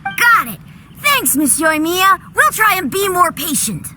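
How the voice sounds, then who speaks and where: A woman's voice: extremely high pitch, very animated, a little slow, noisy background, heavily acted. A woman voicing an earnest young village child who is eager to be a hero. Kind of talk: video game cutscene voice acting. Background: game music.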